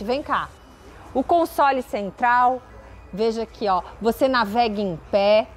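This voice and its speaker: Brazilian female presenter, thirties, slightly high voice, casual and direct to viewer, light location noise behind. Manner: conversational, addresses viewer directly with questions. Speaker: boat show presenter. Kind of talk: casual on-camera product presentation. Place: outdoor boat exhibition at night. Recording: clear background noise, outdoor ambience.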